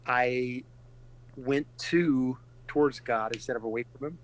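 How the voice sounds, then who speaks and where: A middle-aged man from California and Texas, a pastor speaking earnestly and reflectively in a casual podcast interview, pausing often and restarting his sentences. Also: mid-range voice, a little hiss or hum, rough recording. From California and Texas, USA.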